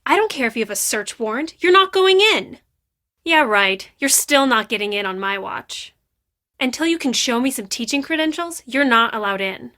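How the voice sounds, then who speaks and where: A woman playing a brash, defensive teenage girl who works as a high school hall monitor in a video game, with a sassy, dismissive and emphatic delivery. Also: expressive, normal speed, bright, forceful tone, studio quality. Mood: stern, scolding. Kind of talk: acted video game dialogue.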